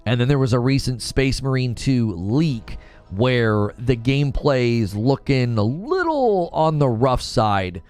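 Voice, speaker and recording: A man's voice: medium pitch, expressive, slow pace, darkish tone, soft background music. A young man hosting a live stream, chatting loosely about games and reading chat, with many fillers and restarts. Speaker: streamer host.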